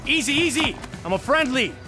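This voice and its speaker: Excitable game agent, brash, very high voice, animated, hard bright edge. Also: male voice, a little fast, faint music behind, heavily acted. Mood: urgent. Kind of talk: video game cutscene acting.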